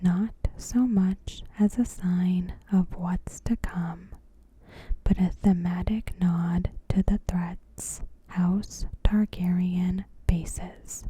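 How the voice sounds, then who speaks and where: A woman whispering softly in an ASMR style, reading scripted commentary in a hushed, unhurried, breathy voice. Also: lowish voice, steady tone. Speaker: ASMR host.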